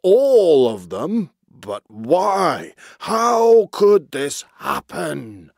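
Man doing a loud, blustery old walrus chief. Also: mid-range voice, very animated, takes it slowly, vocal fry, no echo, studio quality, full character voice. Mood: astonished. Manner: grunting, blustery, pompous. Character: big, bad-tempered old male walrus chief, self-satisfied.

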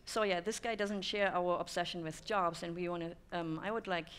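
A woman's voice: on the low side, fairly even intonation, fairly quick, slight background noise. A German woman in her thirties giving a conference talk to an audience, thoughtful, with many "um" fillers. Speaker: speaker. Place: dim stone-walled room with audience.

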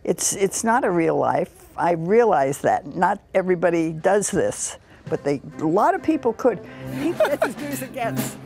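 A woman's voice: lowish voice, big pitch swings, normal speed, creaky, a little mellow, soft background music. A woman in her eighties, blunt and feisty, interviewed aboard a cruise ship. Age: 80s. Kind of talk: casual interview.

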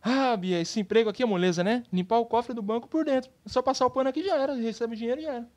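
A woman's voice: on the high side, very fast, a little mellow, lightly acted. A woman with a Brazilian accent voicing a carefree, teasing boy best friend in a children's comedy cartoon, breezy and colloquial.

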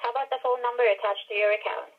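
A woman's voice: fairly high pitch, fairly even intonation, fast talker. A woman working as a customer service agent, asking formal account questions over the phone. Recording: tinny phone line played through speaker.

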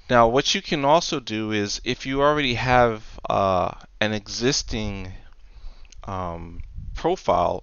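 Low, smooth-voiced man giving a tutorial. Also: a bit bright, no echo, highs cut off. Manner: halting, step-by-step explaining. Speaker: software tutorial presenter. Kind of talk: unscripted screen-recorded tutorial.

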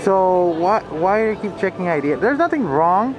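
A woman's voice: on the low side, sing-song, normal speed, a crowd in the background, low-quality recording. A woman chatting casually outdoors as the companion on a vlog. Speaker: vlog companion behind the camera. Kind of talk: casual chat. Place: outdoors at night in a theme park. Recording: music playing behind.

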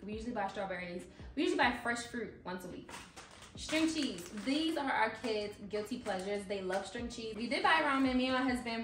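A woman's voice: mid-range voice, fairly bright tone, recorded from a distance, a little hiss or hum. A young woman casually walking through her groceries in a home kitchen, chatty and matter-of-fact, recorded by a camera a few feet away. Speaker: vlogger. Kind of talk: casual grocery haul walkthrough. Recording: roomy kitchen echo from across the counter.

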